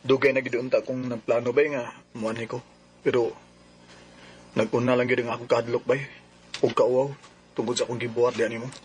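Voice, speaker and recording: A man's voice: mid-range voice, level tone, a little fast, pauses often, close to an everyday voice. An actor playing an ashamed, grateful, hard-up family man, humble and apologetic, in a Filipino Cebuano radio drama. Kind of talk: sentimental radio drama acting. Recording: faint noise, radio drama ambience.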